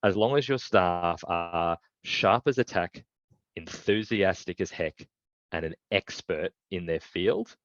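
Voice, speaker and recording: Deep-voiced man, dull dry audio. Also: slow pace, fairly bright tone, no room sound. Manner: confident, emphatic, salesy. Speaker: guest, recruitment business owner. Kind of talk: business interview.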